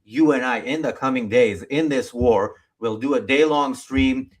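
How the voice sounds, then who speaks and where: A man's voice: mid-range voice, unhurried. A man hosting a casual live talk show, speaking in a bantering, teasing way.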